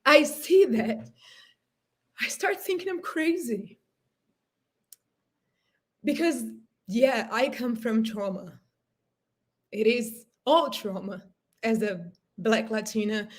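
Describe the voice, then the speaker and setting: A woman's voice: on the high side, slow pace, long pauses. A woman in her thirties from Brazil, with a Brazilian Portuguese accent, whose first language is Portuguese. She speaks earnestly and emotionally on a panel over a video call, searching for words. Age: 30s. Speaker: panel guest. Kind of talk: impassioned panel discussion.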